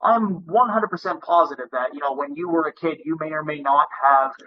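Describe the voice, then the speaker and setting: A male podcast host speaks in long-winded, winding sentences. His voice is slightly high. The recording sounds dull and lo-fi. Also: a little fast. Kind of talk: interview host monologue.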